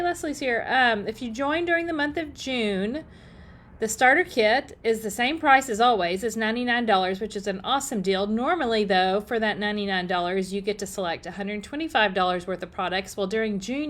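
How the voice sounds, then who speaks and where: A middle-aged woman, slightly hoarse, chatting upbeat through a casual live crafting demo and greeting viewers by name. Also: a little high, fairly quick, a bit bright. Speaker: paper-crafting demonstrator.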